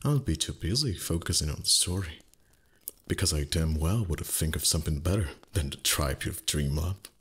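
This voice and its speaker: Man playing a very husky, deep ancient dragon. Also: lively intonation, moderate pace, exaggerated voice. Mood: cynical, teasing. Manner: haughty, teasing, archaic formal phrasing. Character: ancient, proud dragon, gruff but secretly tender. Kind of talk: roleplay ASMR voice acting.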